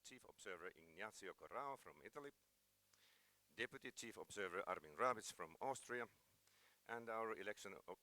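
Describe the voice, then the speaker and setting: A man with a Finnish accent speaking as a press officer, formally opening a press conference in a halting, procedural way. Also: deep voice, bright, forceful tone. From Finland.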